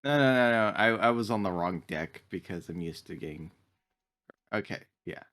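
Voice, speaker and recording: A man with a fairly low voice takes part in a tabletop roleplaying game. He narrates his character's actions in a casual, conversational way. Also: expressive, normal speed, fairly bright tone. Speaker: game player.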